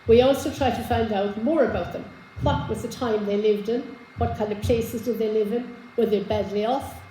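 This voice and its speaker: A hoarse woman giving an informal genealogy talk to a live audience, chatty, with many fillers and restarts. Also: fairly high pitch, fairly even intonation, normal speed, warm tone, reverberant, a little hiss or hum, low-quality recording. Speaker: genealogy speaker. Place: community hall with an audience. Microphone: handheld microphone.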